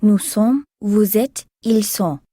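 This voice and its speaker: A woman playing a strict, composed governess in a video game, formal and measured as she instructs. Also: mid-range voice, mellow, dry recording, clean studio sound. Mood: firm, instructive. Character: strict, composed female governess tutoring a child. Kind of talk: video game character dialogue.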